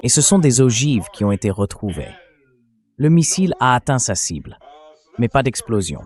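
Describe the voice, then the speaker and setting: A man's synthetic voice reading a translated interview as a military commentator, in a steady, explanatory delivery. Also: mid-range voice, normal speed.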